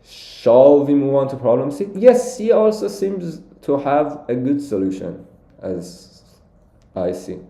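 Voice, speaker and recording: A man's voice: medium pitch, very animated, takes it slowly, gravelly, mellow, reverberant. A young man originally from Iran, walking through contest solutions on a live stream and thinking aloud with many fillers and restarts. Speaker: competitive programming streamer.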